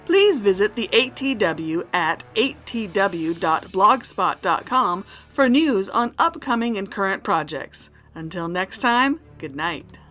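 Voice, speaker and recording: Female announcer, scripted credits, animated pitch, forward bright voice, medium register. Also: unhurried, few pauses, soft background music.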